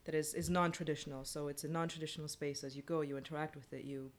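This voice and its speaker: A woman's voice: deep voice, level tone, fast talker. A woman chatting casually as a playwright guest on a panel, explaining things informally in short phrases.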